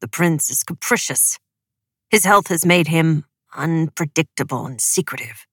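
Woman putting on a low, guarded, stern commander. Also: lively intonation, husky, no room sound, studio quality, exaggerated voice. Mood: doubtful. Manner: guarded, muttering, measured. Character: stern, wary middle-aged father and military commander.

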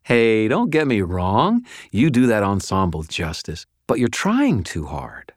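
A man performs a wisecracking wizard in an audiobook. He is sardonic and mock-cheerful, with a very lively swing to his pitch and a dry, close sound. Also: a little slow, no echo, exaggerated voice. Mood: needling. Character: wisecracking, defiant male wizard.

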